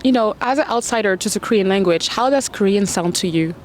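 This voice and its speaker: A woman with a French accent, a native French speaker, asking tourists questions in a playful, lively way. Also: mid-range voice, fast. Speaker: street interviewer. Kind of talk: street interview. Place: city street outdoors. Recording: street noise with traffic.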